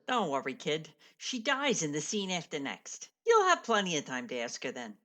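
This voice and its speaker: An actress playing a wry, bossy, no-nonsense stage manager in a radio play. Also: female voice, deep voice, huge pitch swings, moderate pace, pushed and bright, heavily acted. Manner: wisecracking, bossy.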